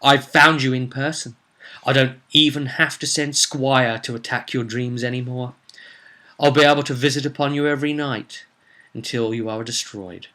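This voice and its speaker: A man's voice: mid-range voice, steady tone, moderate pace, bright, forceful tone, natural voice. A man voicing a menacing, gloating apparition villain who haunts dreams in a tabletop fantasy game.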